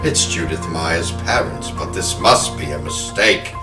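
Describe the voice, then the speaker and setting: A man's voice: extremely low pitch, lively intonation, a little slow. A man hosting a horror podcast, moving between talk and dramatic storytelling. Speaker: podcast host. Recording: roomy, music behind.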